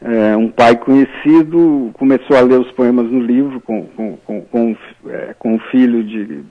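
A man's voice: mid-range voice, fairly even intonation, measured pace, narrow, tinny audio. A man, a writer, talking as a guest in a radio interview, speaking thoughtfully and hesitantly, with many fillers and restarts.

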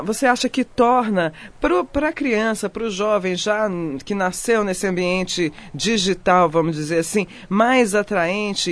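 A woman hosting a radio interview, asking long, halting questions as she searches for her words. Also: medium pitch. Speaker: radio host.

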